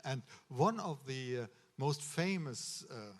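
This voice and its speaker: This is a man with a German accent addressing students at a ceremony, earnest and advising. His voice sits at an ordinary middle pitch, and he often stops on uh and restarts his words. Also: lively intonation, slow. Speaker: medical school official addressing students. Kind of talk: ceremony speech. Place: ceremony hall.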